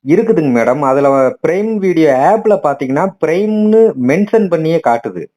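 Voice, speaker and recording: A man's voice: fairly high pitch, animated, moderate pace, warm tone. A man with a Tamil accent, a customer politely and persistently describing a problem on a phone call. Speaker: customer calling support.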